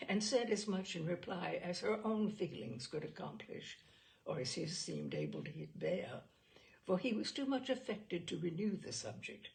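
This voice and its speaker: A woman reading a classic novel aloud as an audiobook narrator, with expressive, dramatic and deliberate phrasing. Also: low-pitched, vocal fry, poor audio.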